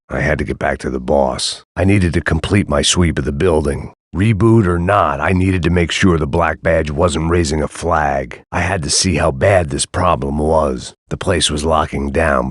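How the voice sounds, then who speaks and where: An actor playing a world-weary, sardonic middle-aged ex-cop, delivering a dry, deadpan hard-boiled game monologue. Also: male voice, rumbling low, no echo. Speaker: game protagonist.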